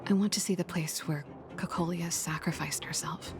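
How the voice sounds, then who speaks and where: A woman voicing a guarded young woman in a game who admits she runs from her problems, with a low, breathy delivery. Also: steady tone, moderate pace, natural voice. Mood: reflective. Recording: game audio with music behind.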